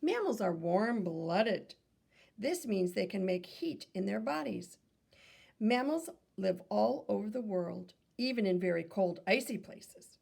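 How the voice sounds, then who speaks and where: A woman reading aloud to children like a teacher, in an instructive manner, pausing to pose questions to young listeners. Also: mid-range voice, expressive, gravelly, a little mellow, slightly rough.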